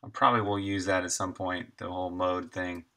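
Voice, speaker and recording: A man's voice: low voice, level tone, a little fast, bright, forceful tone. A man in his thirties chatting casually and thinking aloud while he codes on a live stream, sometimes muttering to himself. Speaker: game developer live streaming.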